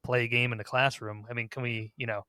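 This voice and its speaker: A man's voice: medium pitch, level tone, quick pace, bright, forceful tone, clear, resonant tone, dry recording, studio quality. A man talking casually and giving his opinions as a podcast co-host.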